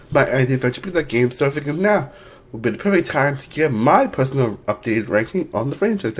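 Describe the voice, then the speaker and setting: A young man talks through his game rankings in a loose, rambling way, restarting sentences and filling gaps as he goes. His voice sounds a little off from allergies, and he sits at a middle pitch for a man. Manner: rambling, with frequent restarts and fillers. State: voice a bit off from allergies. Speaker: video game reviewer, channel host. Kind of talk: casual ranking video talk.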